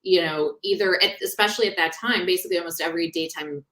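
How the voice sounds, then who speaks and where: Woman author chatting about her book, lowish voice, animated, keeps breaking off mid-thought. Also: quick pace. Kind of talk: casual book discussion.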